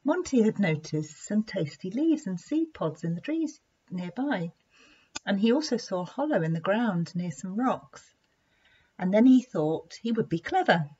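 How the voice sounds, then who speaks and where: A woman reading a children's story aloud in an expressive, deliberate storytelling manner. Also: medium pitch, husky. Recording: dull lo-fi sound.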